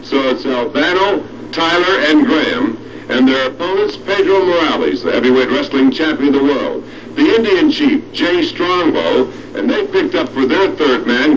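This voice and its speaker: A man working as a wrestling announcer and interviewer, making broadcast announcements, on an old cassette recording of television. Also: a little high. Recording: muffled, distant 1971 TV cassette recording, crowd behind.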